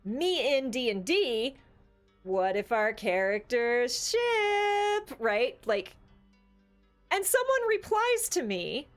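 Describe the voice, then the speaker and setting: A woman co-hosting a casual tabletop gaming discussion, talking in an animated, opinionated, conversational way. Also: sits high, slow pace, soft background music. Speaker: podcast co-host.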